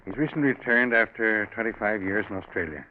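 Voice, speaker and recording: An actor playing a grave, secretive, middle-aged bank president, formal and deliberate with some hesitation, in 1940s radio drama style. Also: male voice, lowish voice, natural voice. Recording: noisy, narrow-band 1940s radio recording.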